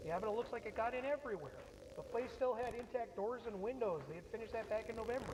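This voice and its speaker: An actor playing an easygoing small-town police officer in a horror web series, talking in a folksy way. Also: male voice, very high voice, quick pace, background noise.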